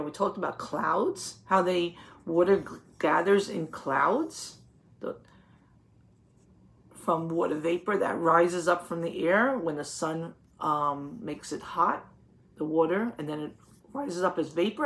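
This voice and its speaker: Woman teacher doing a kids read aloud, really slow pace, medium pitch, keeps asking questions and explaining stuff. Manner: didactic, pausing to question the listener and confirm answers. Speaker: teacher reading a picture book to children. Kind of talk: read-aloud lesson with questions to young children.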